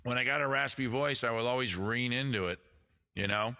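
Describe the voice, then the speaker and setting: A man with a raspy voice hosting a casual livestream show, chatting quickly and loosely with viewers in the chat. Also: medium pitch, pushed and bright. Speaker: livestream music show host.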